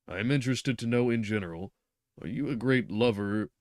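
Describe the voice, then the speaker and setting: A man's voice: medium pitch, fairly quick, dry recording, studio quality, voice pushed up, natural voice. A man voicing a stern middle-aged doctor who lectures insistently and stresses his words. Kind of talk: reading a novel aloud.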